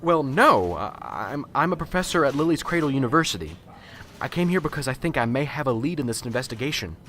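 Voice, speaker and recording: A man's voice: medium pitch, big pitch swings, a little fast, fairly bright tone, slight background noise. A man playing a stammering nervous professor. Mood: jittery. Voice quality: stammering, hesitant, fumbling for words. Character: nervous, unsure male college professor. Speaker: professor bringing a lead. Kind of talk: amateur radio drama acting.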